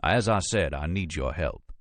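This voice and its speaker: A man's voice: rumbling low, lively intonation, unhurried, dry recording, heavily acted. A man voicing a courteous, self-assured FBI agent in an audiobook, with a creamy drawl and formal, precise, drily witty delivery. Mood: confident, persuasive. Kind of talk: audiobook character dialogue.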